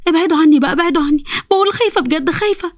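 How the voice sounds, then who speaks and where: A woman's voice: sits high, racing, no room sound. A woman doing a panicky Egyptian girl. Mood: terrified. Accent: Egyptian Arabic. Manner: pleading, panicky. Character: frightened young woman, jumpy and pleading.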